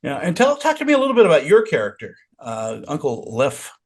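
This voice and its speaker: A man's voice: a little high, wildly swooping pitch, fairly quick, breathy edge. A man interviewing a guest, enthusiastic and complimentary as he asks his questions.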